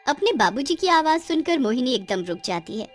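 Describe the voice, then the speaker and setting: Woman narrator, high pitch, very varied intonation, dramatic scripted cartoon storytelling. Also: big pitch swings, brisk, faint music behind.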